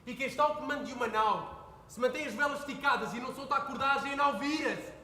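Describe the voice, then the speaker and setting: A young man playing an earnest son who pleads with his father, building to heated shouting. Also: very high-pitched, fast, a little hiss or hum. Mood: pleading. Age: young adult. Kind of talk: stage play performance. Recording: echoey hall with faint ambience.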